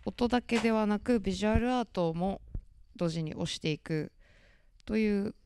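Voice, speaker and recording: A woman's voice: mid-range voice, normal speed, darkish tone, clean tone, dry recording. A young woman managing a label, explaining it in casual radio talk with many hesitant fillers into a handheld mic in a dim cafe studio.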